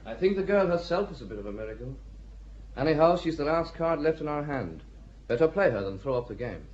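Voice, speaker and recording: An actor playing a calm, steady gentleman officer who quietly persuades his superior in a radio drama. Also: fairly high pitch, a little fast, muffled recording. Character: calm, loyal gentleman officer backing the girl.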